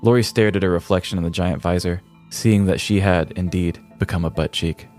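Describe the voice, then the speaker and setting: An American man reading a scripted comedic story in a deliberate, theatrical storyteller's manner. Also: low voice, fairly even intonation, a little mellow, soft background music. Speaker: podcast host telling a story.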